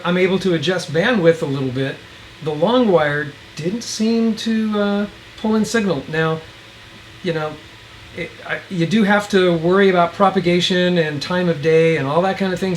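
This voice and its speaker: A man's voice: sits high, normal speed, low-quality recording. A man casually demonstrating gear outdoors, thinking aloud in a folksy, rambling way, with some wind around him. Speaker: radio reviewer and video host. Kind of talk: casual hands-on gadget demo. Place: outdoors, windy.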